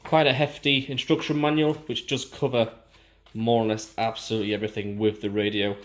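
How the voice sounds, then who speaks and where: A man's voice: fairly low pitch, level tone, brisk, slight background noise. Male, British accent, casual unboxing presenter, informal and explanatory. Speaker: product reviewer.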